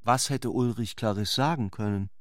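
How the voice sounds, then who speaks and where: A man's voice: fairly low pitch, normal speed, dry recording, studio quality. A man narrating a literary radio drama from a script.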